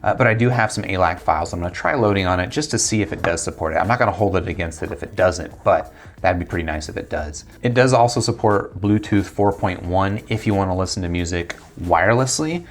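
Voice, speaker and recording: Low-pitched American man reviewing gadgets. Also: fast. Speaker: tech product reviewer. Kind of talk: casual unboxing review talk to camera. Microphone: lapel mic.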